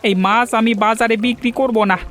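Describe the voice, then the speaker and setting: Man doing a high-voiced humble fisherman. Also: fairly even intonation, a little fast, fairly bright tone, soft background music. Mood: earnest. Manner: pleading, deferential. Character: humble poor village fisherman, grateful and earnest. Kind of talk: cartoon voice acting.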